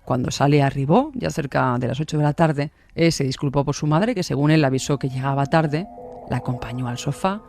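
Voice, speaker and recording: A man narrates a true-crime story in a scripted, dramatic style. His voice sits a little high for a man. Faint music plays behind him. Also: fairly quick, darkish tone.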